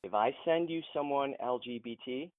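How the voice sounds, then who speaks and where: An actor playing a frustrated, principled film producer in an audio drama, earnest and insistent, heard over a thin phone line. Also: male voice, mid-range voice, normal speed, no echo, close to an everyday voice. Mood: defiant. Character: frustrated, principled male film producer challenging his boss. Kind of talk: modern audio drama acting.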